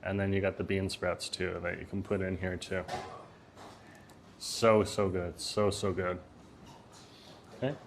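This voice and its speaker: A man's voice: little pitch movement, measured pace, creaky, long pauses, a little hiss or hum. A man casually chatting on a live stream from a Vietnamese restaurant, talking easily, reading chat messages aloud and laughing often. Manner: says he has a loud voice and lowers it. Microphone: phone.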